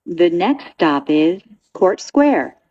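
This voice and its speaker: A woman reading scripted train announcements in a measured, segmented way. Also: fairly low pitch, very animated, muffled recording.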